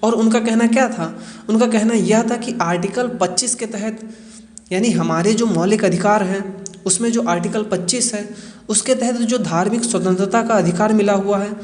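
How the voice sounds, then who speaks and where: A man's voice: sits high, normal speed, darkish tone. A man giving an explanatory current-affairs lecture in a didactic way, posing rhetorical questions and answering them himself. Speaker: educational explainer presenter. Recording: echoey reverberant room.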